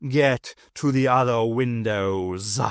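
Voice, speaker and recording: A man voicing a gruff, suspicious male cat swordsman in an audiobook, speaking slowly and curtly. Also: medium pitch, dry recording, studio quality, voice pushed down, close to an everyday voice. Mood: urgent, snarling. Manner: slow, curt, deliberate delivery.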